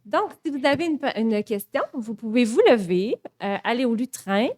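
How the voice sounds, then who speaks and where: Québécois woman running a council meeting, friendly and informal, slightly high voice. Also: moderate pace, a little mellow. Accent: Québécois French. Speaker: acting mayor chairing a council meeting. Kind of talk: chairing a formal meeting.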